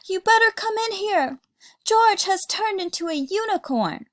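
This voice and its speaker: A woman voicing a brisk, excitable motherly yeti in a children's storybook. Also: very high voice, pitched above the performer's own voice. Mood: alarmed yelling.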